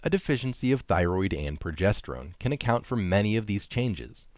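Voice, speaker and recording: A man's voice: fairly low pitch, sing-song, brisk, a little mellow, dry recording. A man reading an article aloud with casual asides, speaking emphatically and posing rhetorical questions. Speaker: reader of articles.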